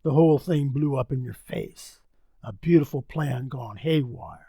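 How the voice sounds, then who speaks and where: An actor playing a sly, mocking, sinister narrator in 1940s radio mystery style, gravelly, husky and gloating. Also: male voice, medium pitch, a little slow, dark tone, dry recording, clean studio sound. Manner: sardonic, gloating.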